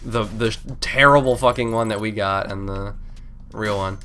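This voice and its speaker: A man's voice: fairly low pitch, fairly even intonation, normal speed, pushed and bright, slight background noise. A man with a congested, stuffed-up voice giving casual, rambling video game commentary, often interrupting himself and swearing freely. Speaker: let's play host. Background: video game music.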